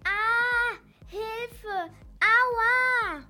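A woman's voice: extremely high pitch, very slow, pushed and bright, soft background music, exaggerated voice. A female performer playing a messy, lazy, whiny youngster in a children's radio play, exclaiming and complaining. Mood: alarmed, disgusted.

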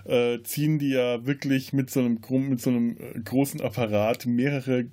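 A man's voice: medium pitch, steady tone, moderate pace, mellow. A man co-hosting a podcast, casually discussing film and often restarting his phrases. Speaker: podcast co-host.

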